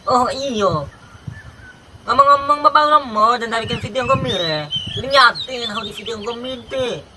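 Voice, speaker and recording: A man's voice: extremely high pitch, moderate pace. A man co-hosting a family vlog, bantering and teasing in casual chat. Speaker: channel co-host. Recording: clearly noisy background.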